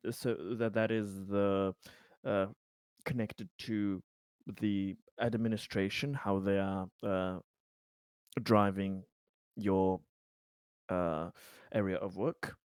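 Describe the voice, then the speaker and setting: Male, player voicing a courteous corporate interviewer who probes slowly and haltingly; lower register, dry close sound. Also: fairly even intonation, a little mellow, clean tone, dry recording. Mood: musing, disappointed. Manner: halting, slow, deliberate. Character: probing corporate interviewer, politely disappointed.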